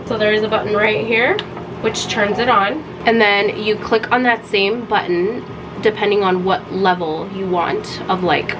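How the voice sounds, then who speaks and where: A young woman who is sick and hoarse chats casually to camera in a home vlog, her voice raspy and often sinking toward a near-whisper. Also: mid-range voice, noisy background. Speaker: lifestyle vlogger. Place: home, indoors.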